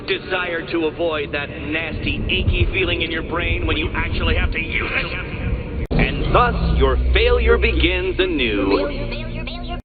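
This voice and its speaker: Arrogant riddle-obsessed game villain: slow, savoured taunts, hugely animated intonation, condescending and pompous. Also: male voice, on the high side. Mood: scornful. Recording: dull lo-fi sound under loud music.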